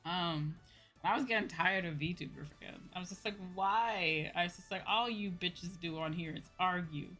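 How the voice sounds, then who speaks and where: Girl streamer just chatting n ranting, kinda low but bright voice, slangy, sweary, soft music behind. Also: moderate pace. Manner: informal ranting, slangy and profane. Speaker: VTuber livestream host. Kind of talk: casual livestream chat.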